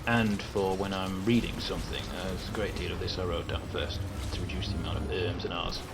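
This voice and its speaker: A man with a northern English accent that slips into southern English at times, narrating a partly scripted game tutorial in a measured, explanatory way with many careful qualifications. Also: sits low, steady tone, very noisy. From England. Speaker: video game guide maker.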